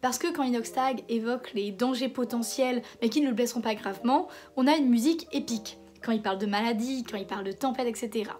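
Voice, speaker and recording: Hoarse woman talking to camera. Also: fairly high pitch, quick pace, hardly any pauses, darkish tone, faint music behind. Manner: conversational, hesitant fillers and restarts. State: hoarse from a cold. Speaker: video essayist host. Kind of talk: casual commentary video essay.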